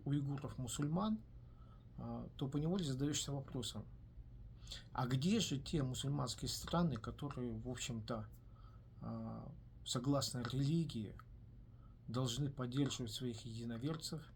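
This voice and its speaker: Hesitant, measured male commentator. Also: mid-range voice, gravelly, darkish tone, slight background noise. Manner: measured, with frequent hesitant "a" fillers between phrases. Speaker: commentator on his own video channel. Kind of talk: solo video commentary. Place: based in the United States.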